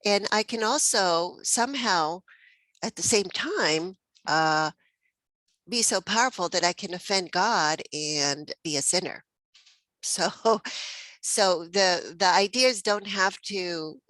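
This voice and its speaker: A woman's voice: lowish voice, slow pace, fairly bright tone, no echo. A woman from Mexico hosting a spiritual text study group, thinking aloud with many fillers and easy laughs. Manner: reflective, many fillers and restarts, laughs often.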